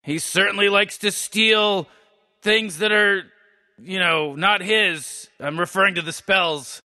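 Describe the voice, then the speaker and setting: A man's voice: sits high, slow, sharp tone, exaggerated voice. A man voicing a cynical old male mad scientist, sarcastic and rambling, stammering over his words as he mocks game characters. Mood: sardonic. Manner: sarcastic, rambling, stammered restarts, barking insults. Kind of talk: comedic commentary on game characters.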